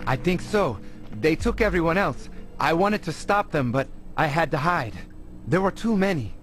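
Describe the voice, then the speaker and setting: A man's voice: a little high, lively intonation, normal speed, fairly bright tone. A man playing an earnest, anxious young townsman in a video game, hesitant and emotive. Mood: anxious, pleading. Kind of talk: video game cutscene voice acting. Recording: music behind the voice.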